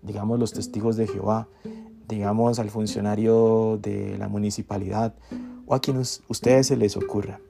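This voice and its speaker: A man giving a reflective academic lecture in a measured, deliberate way, breaking his phrases with pauses. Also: on the low side, little pitch movement, gravelly. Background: guitar music.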